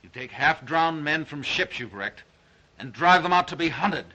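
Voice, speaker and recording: An actor playing a principled, indignant hunter held captive, forceful and in an old 1930s melodrama style. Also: male voice, a little high, expressive, moderate pace, low-quality recording. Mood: appalled. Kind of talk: 1930s melodrama film acting.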